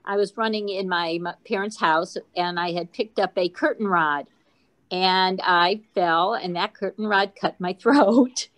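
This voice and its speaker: A woman's voice: medium pitch, unhurried, a bit bright. Older female, conversational storyteller on a video call. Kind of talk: casual personal storytelling.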